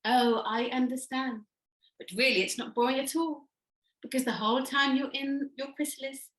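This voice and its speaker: A woman voicing a wise, gentle male butterfly in a storybook. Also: on the high side, echoey room, low-quality recording.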